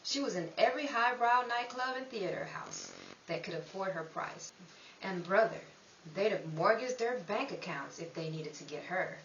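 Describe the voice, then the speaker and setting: A woman's voice: medium pitch, lively intonation, moderate pace, a bit bright. Woman acting world-weary noir storyteller, echoey. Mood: knowing, streetwise. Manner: knowing, streetwise, dramatic. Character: world-weary, knowing female storyteller of 1930s underworld tale. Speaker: narrator. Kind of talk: scripted noir narration, old-time radio. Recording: echoey, distant mic, dull, faint hiss.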